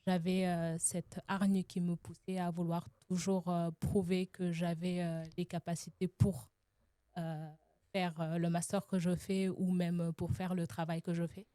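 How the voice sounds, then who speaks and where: Low, mellow-voiced young woman. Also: little pitch movement, unhurried, no room sound. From Senegal. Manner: thoughtful, many hesitations. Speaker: guest, association founder. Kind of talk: casual interview answers.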